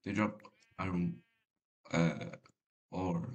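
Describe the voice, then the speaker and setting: A man whose first language is Spanish, giving casual, halting gameplay commentary with a deep voice and many fillers. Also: steady tone, slight background noise. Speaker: gamer.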